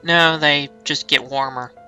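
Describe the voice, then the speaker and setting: A man giving casual, deadpan joking commentary over a video game. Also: fairly high pitch, a little slow. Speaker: let's play co-commentator. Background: loud game music.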